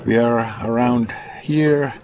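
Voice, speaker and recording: Slow-talking middle-aged man vlogging. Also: mid-range voice, steady tone, darkish tone, slight background noise. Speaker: fishing vlogger. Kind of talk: casual vlog narration.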